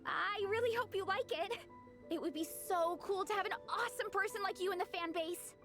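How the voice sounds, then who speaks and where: A woman's voice: very high-pitched, brisk, fairly bright tone. A woman playing a peppy, encouraging young woman athlete in a video game, upbeat, earnest and enthusiastic. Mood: friendly. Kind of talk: video game character acting. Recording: game music under the voice.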